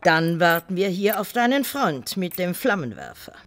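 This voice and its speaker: A man's voice: sits high, sing-song, moderate pace, noisy background. An actor playing an earnest man who urges the others to act in a radio play. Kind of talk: radio drama acting.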